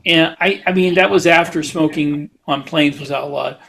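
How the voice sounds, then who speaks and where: A middle-aged man talks casually as a podcast co-host. His voice sits a little high for a man, and he pieces his sentences together haltingly, thinking aloud as he goes. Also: steady tone, creaky, fairly bright tone. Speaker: podcast co-host, science fiction author. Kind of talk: casual chat.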